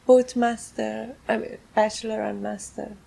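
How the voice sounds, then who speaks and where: A woman from Iran with a Persian accent, a native Persian speaker, answering casual interview questions in halting English as an artist. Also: fairly high pitch, flat delivery, creaky, warm tone, breathy edge. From Tehran, Iran. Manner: halting, searching for words in English. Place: on a houseboat, seated at a table.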